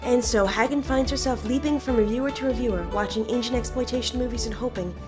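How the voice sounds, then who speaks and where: A woman's voice: fairly high pitch, quick pace. A woman reading a scripted, dramatic introduction as narration. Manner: dramatic storytelling. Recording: narration over loud music.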